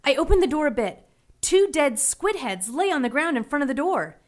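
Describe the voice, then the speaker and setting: A woman's voice: high voice, big pitch swings, normal speed. A woman playing a plucky, sassy 1940s heroine who narrates her adventure in an audio drama with brash, wry slang. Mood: wry, tense.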